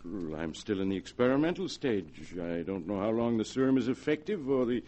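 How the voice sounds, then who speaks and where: An actor playing a cautious male scientist professor in 1940s radio drama, speaking in a measured, formal, precise way. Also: on the low side, very animated, mellow. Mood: cautious, reluctant.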